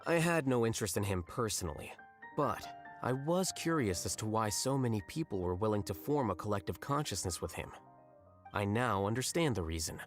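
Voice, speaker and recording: An actor playing an aloof, blunt young male scholar in a video game, deadpan, measured and articulate. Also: on the low side, lightly acted. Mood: calm, detached. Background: music.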